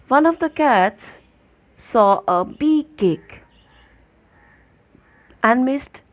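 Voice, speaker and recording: A woman's voice: sits high, expressive, drawn-out words. A woman teacher telling a moral story to young children in simple, repetitive phrases, putting questions to them.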